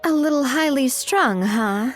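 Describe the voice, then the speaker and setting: A woman's voice: high voice, lively intonation, a little slow, faint music behind. A woman playing a friendly, earnest young female investigator in a video game, explaining things in an easygoing conversational way. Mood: reassuring. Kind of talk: video game character voice acting.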